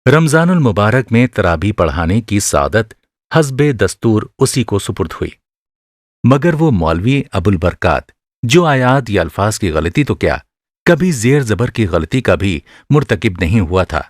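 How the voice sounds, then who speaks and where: A man narrating a literary short story for a radio drama, with expressive, measured delivery and careful phrasing. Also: fairly low pitch, no room sound, clean studio sound.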